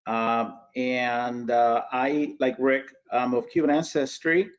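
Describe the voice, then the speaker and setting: A man's voice: mid-range voice, flat delivery, slow, smooth. A Cuban-born man in his sixties, an art critic, chatting at length in a casual online talk. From Cuba. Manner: talkative, chatty. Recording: dull lo-fi audio.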